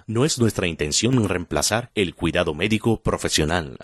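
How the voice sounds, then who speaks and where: A man's voice: sits low, no room sound, studio quality. A man working as an announcer, reading a scripted disclaimer in narration style.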